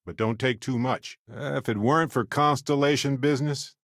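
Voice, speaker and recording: An actor playing an earnest, wry, talkative space explorer companion in a video game, speaking in a conversational, reflective way. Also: male voice, mid-range voice, normal speed, fairly bright tone, no echo, clean studio sound, natural voice.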